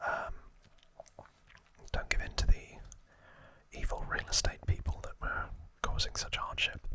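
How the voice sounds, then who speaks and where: A man hosting an ASMR channel, whispering an informal trivia recap with hesitant, musing asides. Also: measured pace.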